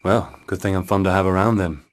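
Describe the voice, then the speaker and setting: An actor playing a suave, wry field agent in a video game, with laid-back, dry banter. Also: male voice, deep voice, flat delivery, fast talker, lightly acted. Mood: wry, easygoing. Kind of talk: video game cutscene acting. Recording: music behind the voice.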